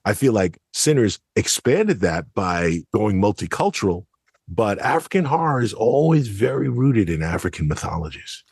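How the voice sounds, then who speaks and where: A man's voice: lowish voice, very animated, normal speed, darkish tone, slightly rough, dry recording, studio quality. An American man giving conversational podcast analysis in an explanatory, emphatic way. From United States. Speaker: podcast commentator.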